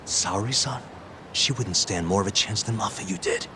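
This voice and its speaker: An earnest young private detective, voiced by a male actor in a video game cutscene. His fairly low voice is dry and casual, with an exasperated edge. Clear noise sits behind him. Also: normal speed, natural voice.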